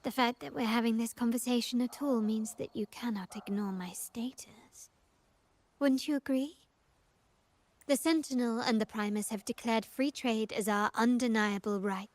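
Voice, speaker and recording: A woman's voice: fairly high pitch, no echo, close to an everyday voice. A woman voicing a poised, imperious young noblewoman in a video game, composed, formal and cutting as she speaks. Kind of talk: scripted game voice acting.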